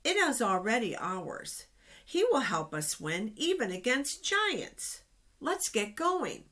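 A woman's voice: on the high side, sing-song, slow pace, fairly bright tone, exaggerated voice. Woman reading as a bold, faithful scout. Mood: confident, eager. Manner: confident urging. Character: bold, faithful ancient scouts urging people on.

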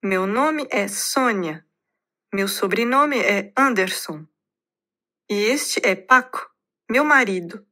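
Slow, bright-voiced woman reading a course dialogue. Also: mid-range voice, lively intonation. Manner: very deliberate, evenly spaced words. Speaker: course audio speaker.

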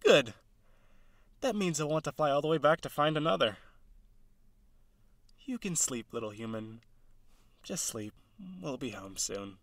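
A man performs an eager, nervous male harpy for an audio roleplay. His voice sits a little high for a male and moves through lively swings of pitch. He is awkward, gentle and excitable, talking directly to the listener. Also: a little slow, pauses often, no room sound. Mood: soothing. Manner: hesitant, gentle, excitable, dramatic.

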